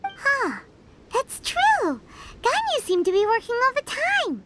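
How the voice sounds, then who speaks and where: A woman's voice: very high-pitched, wildly swooping pitch, a little slow, noisy background, heavily acted. A woman voicing a tiny, cheeky female companion in a game, bouncy and teasing.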